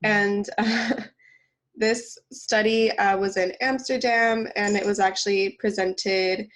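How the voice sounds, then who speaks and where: A woman's voice: mid-range voice, steady tone, slow pace. Congested woman co-hosting a casual podcast. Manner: chatty, laughs often, many fillers. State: congested from a cold. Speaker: podcast co-host.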